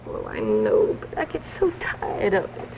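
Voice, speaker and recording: An actress playing a lonely, resentful wife in a 1950s radio drama, plaintive and reproachful in a melodramatic way. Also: female voice, a bass voice, wildly swooping pitch, measured pace, gravelly, slight background noise, full character voice. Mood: bitter, despairing. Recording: muffled 1950s radio recording, reverberant, faint music.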